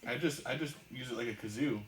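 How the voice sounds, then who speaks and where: A woman's voice: a bass voice, fast talker. A woman co-hosting a casual chat show, rambling with lots of fillers and easy enthusiasm. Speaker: podcast co-host. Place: shop room indoors.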